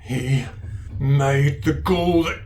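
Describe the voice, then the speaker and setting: A man's voice: medium pitch, drawn-out words, a little mellow. A man playing a furious, wounded adventurer bent on revenge in a tabletop roleplaying game.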